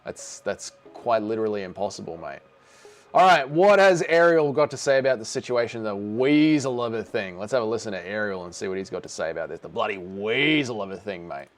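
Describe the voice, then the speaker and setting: A man's voice: lowish voice, animated, fairly quick, faint music behind. A middle-aged man streaming live, reading and answering chat in a brash, sarcastic way and swearing freely. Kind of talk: casual livestream chat.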